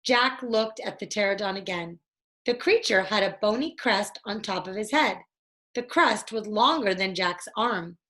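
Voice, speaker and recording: A woman's voice: mid-range voice, lively intonation, measured pace, pushed and bright. A woman reading a children's storybook aloud expressively for young listeners. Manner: addresses young listeners, acts out dialogue. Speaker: story reader.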